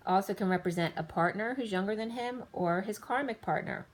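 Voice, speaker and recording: Woman reading tarot cards, rambling delivery, slightly hoarse, mid-pitched voice. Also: brisk. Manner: rambling, lists card after card in loose fragments. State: slightly losing her voice. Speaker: tarot reader.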